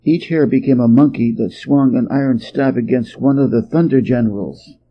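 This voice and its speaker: A man from Hawaii reading a storybook tale as an expressive narrator. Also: mid-range voice, moderate pace, muffled recording, a little hiss or hum. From Hawaii, United States.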